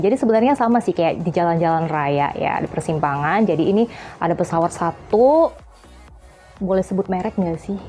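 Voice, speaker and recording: Playful woman explainer with a mellow voice. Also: fairly low pitch. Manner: playful, jokey, acts out little dialogues to illustrate points. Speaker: host of an aviation explainer channel. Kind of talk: casual educational explainer, chatty and colloquial.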